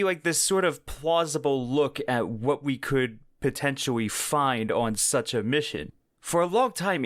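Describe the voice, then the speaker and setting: A man's voice: mid-range voice, a bit bright, no room sound. Man hosting in a dry, close recording. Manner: enthusiastic, informal. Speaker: podcast host. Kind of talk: casual solo podcast commentary.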